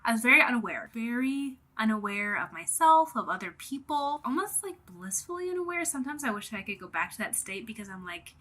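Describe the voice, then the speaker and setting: A young woman with a bright, crisp voice talks openly to camera in a reflective personal vlog, a little sniffly from a cold. She rambles candidly, full of fillers, and now and then drops to a soft whisper. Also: a little high, sing-song, fairly quick, few pauses. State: has a cold, a bit sniffly. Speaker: vlogger.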